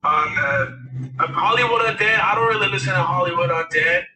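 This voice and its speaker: Raspy high-voiced man, rambling. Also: normal speed, bright, forceful tone, reverberant, recorded from a distance, slight background noise. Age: 30s. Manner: rambling, with many fillers and restarts. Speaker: musician guest. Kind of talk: casual interview talk.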